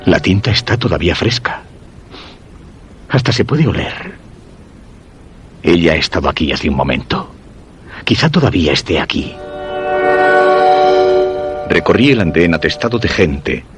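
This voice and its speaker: An actor from radio theatre playing an obsessed, lovelorn commuter who narrates his search in brooding, deliberate Castilian Spanish. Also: low voice, very animated, fairly bright tone, faint music behind, full character voice. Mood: searching, intense. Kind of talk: dramatic first-person radio theatre narration.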